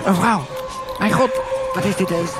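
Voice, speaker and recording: An actor playing an anguished detective in a live noir radio drama, halting and repeating his words. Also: high voice, wildly swooping pitch. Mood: panicked. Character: anguished male detective, rambling in a fevered dream. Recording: live stage with loud music.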